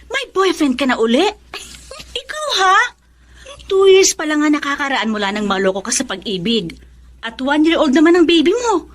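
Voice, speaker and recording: An actress playing a chatty, inquisitive young best friend in a Filipino radio drama. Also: female voice, high-pitched, very animated, moderate pace. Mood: curious, doubtful.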